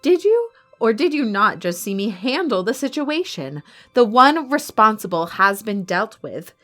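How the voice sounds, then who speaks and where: Villainess, non-human arena boss: imperious, cold, animated pitch, threatening, audio drama with music underneath. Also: female voice. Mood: defensive. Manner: haughty, menacing, measured threats.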